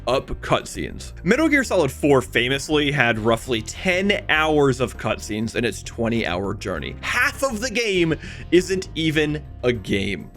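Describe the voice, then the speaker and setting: A man's voice: medium pitch, fairly bright tone. A man delivering scripted video essay narration in a conversational way, with wry asides.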